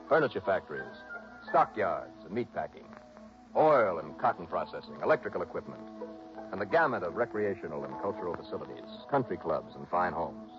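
An actor playing a tough male insurance investigator who narrates a 1960s radio drama in a brisk, clipped, hard-boiled way. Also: fairly low pitch. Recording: dull old radio broadcast with music.

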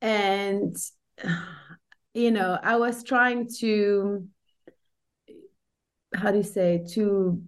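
A woman speaks as an academic guest in a conversational interview. Her voice sits at a middle pitch. She thinks things through aloud, often pausing to find the right word. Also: fairly even intonation. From Brussels, Belgium. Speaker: professor, podcast guest.

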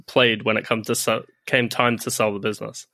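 A man hosting a business podcast, reading a scripted intro and then chatting with a guest in a brisk, friendly way. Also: lowish voice, little pitch movement, sharp tone, dry recording. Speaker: podcast host and deal maker.

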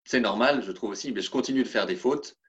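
A man's voice: mid-range voice, fast talker, fairly bright tone, dull audio. A man from France speaking in a casual interview, hesitant and full of restarts. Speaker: interview guest.

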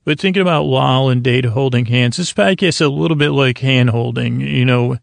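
Creaky-voiced man, rambling and halting. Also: mid-range voice, no room sound. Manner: halting, meandering, self-deprecating. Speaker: host of a sleep podcast. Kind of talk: rambling, improvised bedtime monologue.

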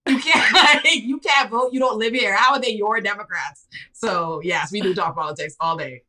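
A young woman from the Bahamas, a public defender, chatting casually on an interview show and acting out quotes with lively laughter. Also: medium pitch, moderate pace. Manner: animated storyteller, laughs often, acts out quotes. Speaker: public defender and political commentator.

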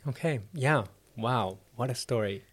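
A man's voice: mid-range voice, unhurried, a bit bright. A man interviewing a guest, asking questions with small hesitations and repeated words. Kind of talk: casual interview.

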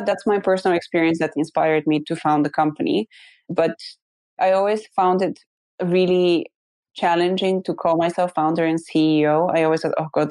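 A woman's voice: low voice, a little mellow, dry recording. A woman from Bulgaria speaking as an interview guest, telling her personal story in an articulate, reflective way. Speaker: interview guest, company founder.

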